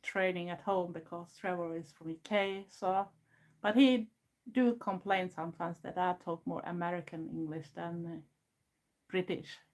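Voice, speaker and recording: Swedish woman in her fifties, lower-pitched voice, earnest and frank, casual live chat with viewers. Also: steady tone, normal speed, darkish tone, low-quality recording. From Sweden. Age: 50s. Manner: frank and earnest, plain non-native phrasing. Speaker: goth YouTuber hosting a livestream.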